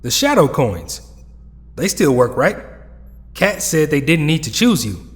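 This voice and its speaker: An actor playing a worried, pleading superhero teammate in an audio drama. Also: male voice, a little high, big pitch swings, unhurried. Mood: hopeful. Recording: long reverb.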